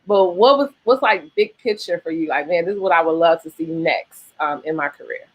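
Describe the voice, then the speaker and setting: A woman's voice: lowish voice, brisk, slightly rough, muffled recording. A woman hosting an interview in an informal, encouraging, chatty way. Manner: informal, encouraging, uses y'all. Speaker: interview host. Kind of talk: casual interview chat.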